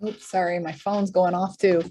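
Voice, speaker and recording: A woman in a workshop over a video call, speaking softly and thoughtfully as she reads her lines. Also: fairly low pitch, level tone, moderate pace. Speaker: workshop participant.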